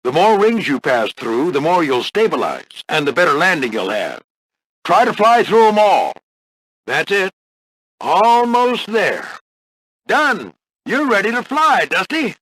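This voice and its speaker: An older American man voicing a gruff veteran warplane flight instructor in a video game, husky and folksy, coaching firmly but with encouragement. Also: high voice, very animated, unhurried, fairly bright tone, dry recording, heavily acted, rough recording. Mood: encouraging. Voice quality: gravelly, husky. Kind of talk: scripted video game character lines.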